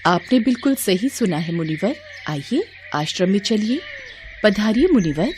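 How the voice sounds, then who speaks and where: Woman acting a devout, humble hermit's wife, lively intonation. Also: medium pitch, normal speed, slight background noise, natural voice. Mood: humble, welcoming. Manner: polite, reverent, earnest. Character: devout, humble sage's wife, gracious and dutiful. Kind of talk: devotional Hindi story dramatization.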